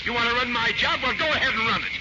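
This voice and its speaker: A hot-tempered construction boss, blustering in a high voice at a quick clip. The old radio play is muffled and very noisy. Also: male voice. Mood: irate. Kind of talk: 1940s radio acting.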